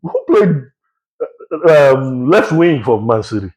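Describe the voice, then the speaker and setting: A man's voice: mid-range voice, big pitch swings, takes it slowly, darkish tone, poor audio. A man co-hosting a football podcast in casual chat, emphatic and fond of repeating his catchphrases. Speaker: podcast co-host.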